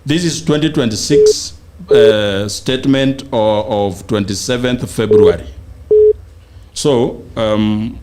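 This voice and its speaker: A man with a Kenyan accent, a panel guest explaining details haltingly as he searches for words. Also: medium pitch, noisy background. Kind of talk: explaining figures in a political discussion.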